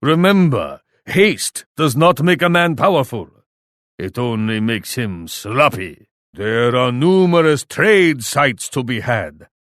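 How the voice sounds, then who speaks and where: Menacing shogun warlord, video game taunts, theatrical, formal, lively swooping pitch, dry close sound. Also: male voice, takes it slowly, dry recording. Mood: smug, ominous. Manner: haughty, threatening, formal and theatrical. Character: proud, menacing Japanese shogun warlord, speaks of himself grandly.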